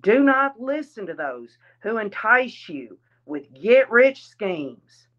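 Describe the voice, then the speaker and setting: A woman reading a religious address aloud in a deliberate, solemn manner. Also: mid-range voice, sing-song, fairly bright tone, dull audio. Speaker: reader.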